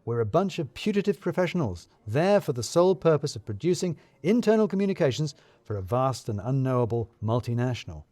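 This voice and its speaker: A man narrating a scripted first-person story in a wry, dryly comic way with deliberate phrasing. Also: mid-range voice, sing-song, dark tone, no room sound.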